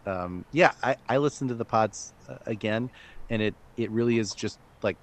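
A man co-hosting a podcast, who chats in a relaxed way with plenty of fillers and a few laughs. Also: lowish voice, normal speed. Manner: relaxed, chatty, many fillers. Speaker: podcast co-host. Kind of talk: casual conversation.

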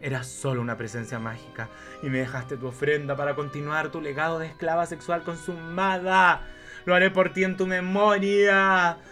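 Man playing a flamboyant, bright-voiced film director. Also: a little high, flat delivery, faint music behind. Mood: grief-stricken, grandiose. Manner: melodramatic, pompous, drawn-out dramatic delivery. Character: flamboyant male art-film director, theatrical and lascivious. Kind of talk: campy radio play, narrated camera directions.

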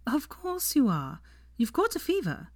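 A woman voicing a bossy, caring, exasperated young woman in an audiobook, brisk and insistent. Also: fairly high pitch, huge pitch swings, dry recording, clean studio sound, voice pushed up, full character voice. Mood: alarmed, exasperated.

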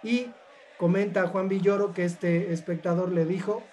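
A Mexican man talking reflectively in a casual panel discussion, in halting, carefully worded phrases. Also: sits high, fairly even intonation, a little mellow, a little hiss or hum.

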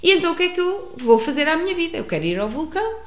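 A woman with a European Portuguese accent telling a personal travel story to an audience, expressive, with many restarts. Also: very high voice, very fast. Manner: expressive, acts out dialogue, frequent restarts. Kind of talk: animated storytelling. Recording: roomy echo.

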